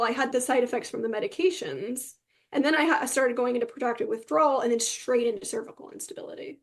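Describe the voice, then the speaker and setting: Woman, fairly high pitch, interview guest, candid personal storytelling, emphatic phrasing.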